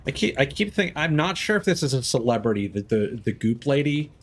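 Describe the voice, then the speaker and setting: A man's voice: medium pitch, sing-song, moderate pace. A man giving casual live video game commentary, thinking aloud and swearing now and then.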